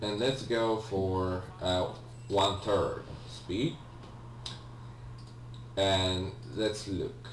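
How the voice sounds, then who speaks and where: Slow, low-voiced man in a roomy recording. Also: steady tone. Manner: hesitant, rambling, self-correcting. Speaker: let's player, video game host. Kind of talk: casual gameplay commentary. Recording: roomy, distant mic with faint hum.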